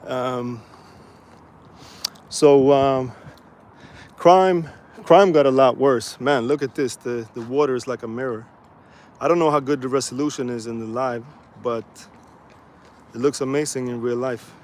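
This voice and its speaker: A man from Sweden casually chatting to viewers while walking outdoors by the water, relaxed and rambling with many fillers. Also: medium pitch, measured pace, long pauses. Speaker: vlogger. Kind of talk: casual live-stream walking vlog. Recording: faint outdoor street noise.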